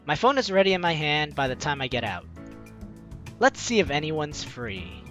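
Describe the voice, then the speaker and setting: Animated, higher-voiced man narrating a game. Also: moderate pace. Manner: expressive, acts out reactions. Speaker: let's play host. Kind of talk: reading a visual novel aloud with playful asides. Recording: music under the voice. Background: game music.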